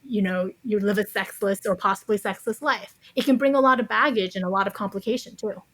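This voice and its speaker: A woman's voice: medium pitch, fairly quick, fairly bright tone, rough recording. A woman speaking as a podcast guest, explaining her views conversationally in a thoughtful, carefully qualified way. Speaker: interview guest, author.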